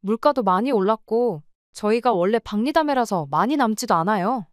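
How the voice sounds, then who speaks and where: A woman's voice: a little high, expressive, fairly quick, no room sound, clean studio sound, close to an everyday voice. A woman playing an exasperated wife who runs a restaurant, arguing with her husband and in-laws. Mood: pleading. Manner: pleading, then sharply arguing. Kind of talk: radio drama acting.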